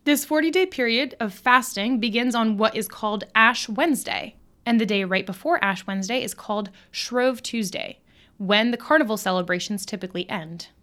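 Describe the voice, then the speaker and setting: An American woman co-hosting a podcast, reading prepared history facts in a clear, upbeat way. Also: fairly high pitch, normal speed, a bit bright. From United States. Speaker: podcast co-host. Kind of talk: scripted podcast narration.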